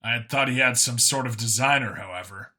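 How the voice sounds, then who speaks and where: A wry human cop, played by a man in a sci-fi audiobook, wary and informal. His mid-pitched voice is bright and forward, with a questioning manner. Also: brisk. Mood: amused. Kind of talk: audiobook character acting.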